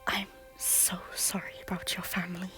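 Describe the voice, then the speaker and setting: A woman playing a remorseful young noblewoman in an audio drama, earnest and often speaking in a hushed voice. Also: lowish voice, little pitch movement, normal speed, whispered, soft background music. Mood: gentle.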